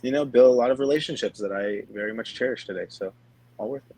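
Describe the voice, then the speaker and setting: A man's voice: mid-range voice, fast talker, mellow, breathy edge, rough recording. A man in his twenties telling a personal story casually as a podcast co-host, peppering it with filler phrases. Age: 20s.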